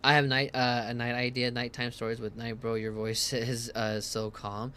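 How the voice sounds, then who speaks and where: A man's voice: mid-range voice, clean tone. A man streaming a game from home on a headset, chatting casually and reading in-game text aloud, tripping over hard names. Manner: reads lore in a slower, calmer voice.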